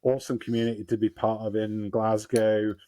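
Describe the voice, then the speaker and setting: A man hosting a casual podcast chat in a relaxed way, with chuckling asides. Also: fairly low pitch, fairly quick. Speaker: podcast host.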